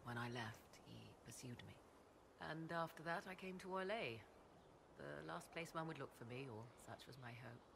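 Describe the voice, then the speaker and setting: An actress playing a proud, enigmatic sorceress in a video game, speaking in theatrical, archaic and formal phrases. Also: female voice, sits low, normal speed, creaky, noisy background. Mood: wry, reflective. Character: proud, enigmatic apostate sorceress, arcane advisor. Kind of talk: video game dialogue acting.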